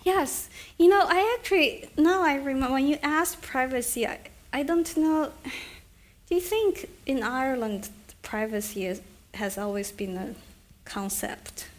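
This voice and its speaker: A woman's voice: high voice, lively intonation, unhurried. A middle-aged woman author from China, speaking thoughtfully with hesitant restarts at a live book event. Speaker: author at a reading.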